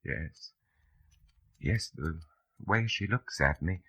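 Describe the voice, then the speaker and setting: A man's voice: deep voice, lively intonation, slow, sharp tone, breathy edge, exaggerated voice. A man playing a nervous, secretly rebellious office clerk in an old radio drama, anxious and defensive. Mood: defensive, worried. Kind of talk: 1950s radio drama acting.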